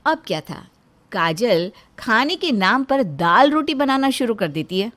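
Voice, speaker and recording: Female narrator, fairly high voice, scripted storytelling for a moral tale. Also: very animated, a little slow, a bit bright.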